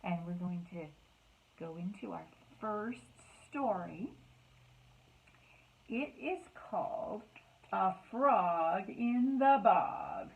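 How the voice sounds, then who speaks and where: A woman hosting a children's storytime, speaking in an expressive, sing-song way to young listeners, in slightly dull, lo-fi sound. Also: mid-range voice, extremely slow, gravelly, pauses often, husky. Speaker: library storytime host. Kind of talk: children's storytime, read-aloud and singalong.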